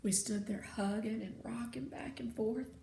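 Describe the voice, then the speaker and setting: Female read-aloud narrator, unhurried and dramatic, echoey room with faint noise. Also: on the high side, steady tone, gravelly, warm tone. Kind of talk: expressive children's story narration. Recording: roomy sound with faint noise.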